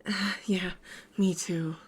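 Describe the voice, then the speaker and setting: A woman's voice: lowish voice, fairly even intonation, slow, husky. An actress playing a smitten young woman in a game parody, feisty, defensive and easily flustered.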